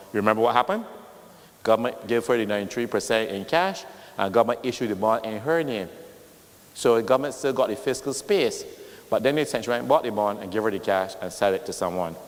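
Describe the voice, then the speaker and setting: A loud-voiced man answering a question at a meeting, patiently explaining with worked examples. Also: lowish voice, quick pace. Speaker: official explaining bonds. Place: meeting hall. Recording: long reverb in a hall.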